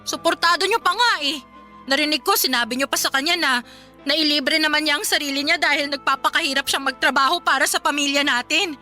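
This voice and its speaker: A young Filipino daughter in a radio melodrama, bright and high in voice. She is polite yet defiant, an aggrieved working girl pleading her side over faint background music. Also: fairly quick. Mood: hurt, protesting. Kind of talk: melodramatic radio drama acting.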